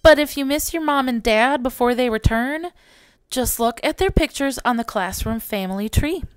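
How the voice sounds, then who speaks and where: A woman voicing a kind, upbeat preschool teacher from a picture book, gently encouraging in a sing-song manner. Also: high-pitched, expressive, normal speed, clean tone, dry recording. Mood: tender reassurance. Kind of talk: picture book character reading.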